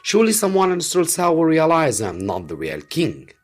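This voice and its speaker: A man voicing an anxious, doubtful gentleman in a storybook reading, agitated and questioning. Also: on the high side, wildly swooping pitch, moderate pace, voice pushed up. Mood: protesting, worried. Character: anxious, doubtful gentleman reluctantly posing as king.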